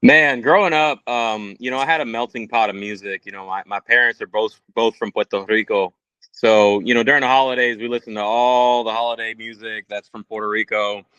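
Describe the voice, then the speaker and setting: A man's voice: on the low side, fairly quick, sharp tone, smooth, dry recording. A man in his thirties, a musician guest talking casually in a podcast interview. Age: 30s. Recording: slightly dull, lo-fi audio.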